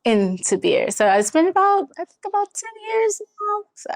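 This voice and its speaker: A woman's voice: very high voice, very animated, moderate pace, dry recording, clean studio sound. A young woman chatting casually as an interview guest, a brewer talking about her craft. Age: young adult.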